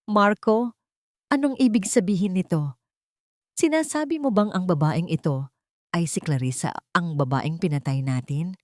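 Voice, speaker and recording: A woman's voice: lowish voice, normal speed, long pauses, darkish tone, no room sound, studio quality, lightly acted. A woman voicing a jealous, betrayed, vengeful mistress in an emotional, accusing way for an audio story. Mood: confused, jealous.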